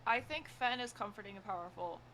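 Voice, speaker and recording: A woman's voice: medium pitch, brisk, pushed and bright. A woman chatting with friends, making dry, joking quips. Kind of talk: casual group chat. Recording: noisy stream audio.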